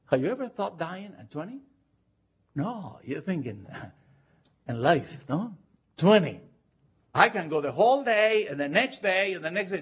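An older man from Argentina with an Argentine Spanish accent, preaching a sermon with emphatic, drawn-out words. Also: high voice, husky. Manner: animated and emphatic, drawing out key words. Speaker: pastor. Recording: dull lo-fi recording.

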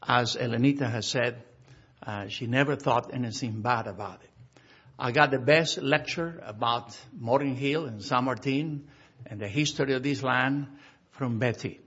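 Halting husky pastor from Argentina. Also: male voice, mid-range voice, a bit bright, lo-fi sound. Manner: halting, with frequent uh fillers. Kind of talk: memorial service address.

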